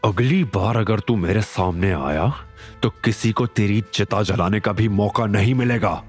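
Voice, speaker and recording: A cold, protective hero of an audio drama, voiced by a male narrator. He speaks in a lowish, controlled voice with measured menace. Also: husky, soft background music. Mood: menacing.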